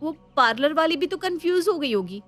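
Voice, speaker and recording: A woman's voice: high-pitched, expressive, brisk, faint music behind, voice pushed up. A woman voicing a sly young sister-in-law in a story, putting on innocence and making excuses. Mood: mock innocent.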